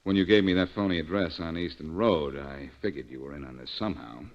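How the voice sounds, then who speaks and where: A man's voice: extremely low pitch, quick pace. A man playing a shrewd, hard-nosed private detective in 1940s radio style, clipped and wisecracking. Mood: sardonic, probing. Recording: dull lo-fi old radio recording.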